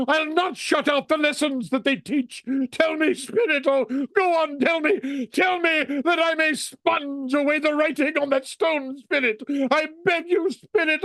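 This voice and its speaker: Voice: high-pitched, a bit bright, no echo. An actor playing an elderly reformed miser in a vintage radio drama, stammering and exclaiming with great feeling. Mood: desperate pleading. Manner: stammering, breathless exclamations with frequent chuckles.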